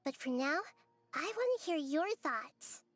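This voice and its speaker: Little wise goddess, high voice, measured articulate delivery, thoughtful, gentle, anime game acting. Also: female voice, big pitch swings, no echo. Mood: thoughtful, composed. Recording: game music under the voice.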